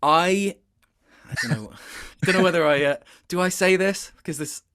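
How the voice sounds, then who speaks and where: A man giving a casual interview as a voice actor, thoughtful and halting, often interrupting himself. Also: sits high, fairly bright tone.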